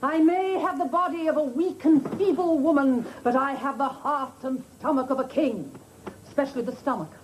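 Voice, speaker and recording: A woman's voice: high voice, normal speed, poor audio. A middle-aged woman in a sitcom role, talking in a theatrical, playfully mock-regal manner. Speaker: sitcom lead. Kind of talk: 1980s British sitcom acting. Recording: studio audience laughter and noise.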